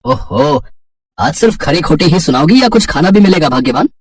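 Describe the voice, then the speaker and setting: A man's voice: fairly high pitch, sing-song, brisk, husky, dry recording, studio quality, far from the mic. A man voicing a teasing, doting middle-aged father in a Hindi cartoon story. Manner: playful, teasing.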